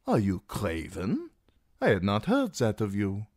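A male narrator voicing a fat, jovial merchant magister who needles his guest in a genial, mocking, drawn-out way. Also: on the low side, wildly swooping pitch, darkish tone, no room sound, clean studio sound, pitched above the performer's own voice, full character voice. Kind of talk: audiobook character reading.

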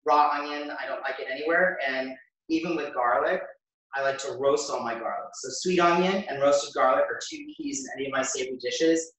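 A man's voice: fairly high pitch, a bit bright. An American man in his fifties giving a casual, chatty live cooking demonstration, thinking aloud as he works. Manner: chatty, informal, thinking aloud while working. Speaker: chef hosting a cooking demonstration. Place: home kitchen, indoors. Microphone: camera a few metres away. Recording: very echoey kitchen, distant microphone.

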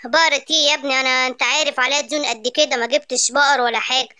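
A woman's voice: high-pitched, steady tone, pushed and bright, clear, resonant tone, dry recording, clean studio sound. A woman voicing a harried, complaining father animal in a children's story, with an Egyptian accent. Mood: grumbling. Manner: hurried, complaining. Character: harried, grumbling animal father, short of money.

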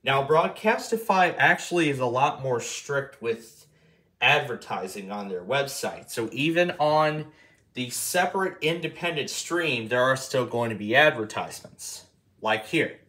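Bright-voiced man walking through a tutorial. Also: mid-range voice, lively intonation, a little slow. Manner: repeats and rephrases himself. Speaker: tutorial host. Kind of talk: casual screen-demo tutorial, explaining step by step. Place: indoor room at a table.

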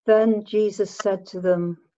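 A woman narrating a dramatic scripture reading in a measured way. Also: mid-range voice, steady tone, warm tone. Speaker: narrator, church member. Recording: dull lo-fi home recording.

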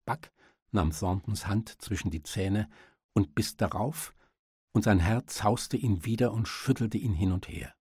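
A man's voice: on the low side, a little slow, a little mellow, slightly rough, no room sound, clean studio sound. A man reading a literary audiobook as narrator, in a dramatic storytelling style.

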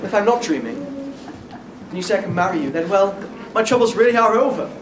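A man's voice: sits high, animated, fast talker. Young man playing a bashful prince, echoey stage. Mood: hopeful. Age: young adult. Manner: hesitant, projected, earnest. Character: bashful, earnest young fairy-tale prince. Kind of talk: stage pantomime acting. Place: theatre stage before an audience. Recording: echoey theatre, distant mic, room noise.

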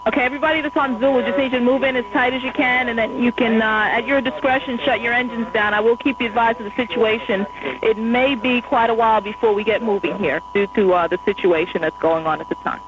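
A woman air traffic controller in an airport tower, giving quick, polite instructions to pilots over the radio. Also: on the high side, steady tone, muffled recording. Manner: brisk, polite and apologetic. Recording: noisy radio transmission with hum.

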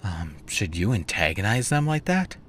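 A man's voice: fairly low pitch, big pitch swings, normal speed, slight background noise. A man voicing a timid, grateful young man in a comic dub. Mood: nervous.

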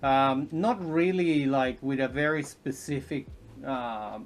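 A man in his thirties from Chile, a podcast guest chatting casually and haltingly, with many ums and restarts, recorded from a distance in a living room. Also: mid-range voice, a little hiss or hum. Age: 30s. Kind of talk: casual interview chat. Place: indoors, home living room.

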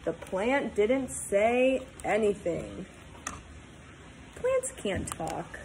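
A woman in her twenties reading a storybook aloud to young children, talking in simple, sing-song, animated phrases. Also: mid-range voice, very slow, a little hiss or hum, low-quality recording. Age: 20s. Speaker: teacher reading aloud to pupils.

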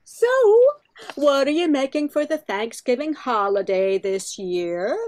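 A woman's voice: a little high, a little slow, slight background noise, lightly acted. An older woman playing a friendly, talkative hairdresser in an amateur radio play. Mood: friendly, chatty.

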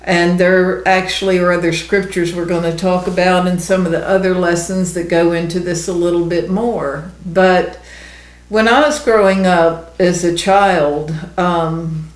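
Woman, 60s. Emphatic, earnest Bible teacher, conversational, recorded in an echoey room. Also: lowish voice. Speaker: ministry co-host, Bible teacher. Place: small indoor room. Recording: roomy, echoey indoor sound.

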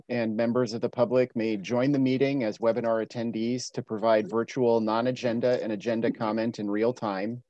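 A man's voice: medium pitch, dark tone, no echo. Man reading meeting rules, dull dry audio. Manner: measured, scripted procedural reading. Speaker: city staff meeting moderator. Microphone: video call.

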